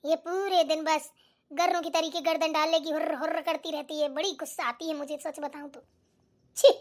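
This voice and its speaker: Very high-pitched nagging mother-in-law played by a woman. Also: fairly even intonation, normal speed, close to an everyday voice. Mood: grumbling, annoyed. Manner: nagging, sharp-tongued, demanding. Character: bossy, scolding older mother-in-law in family cartoon. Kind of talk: Hindi cartoon voice acting.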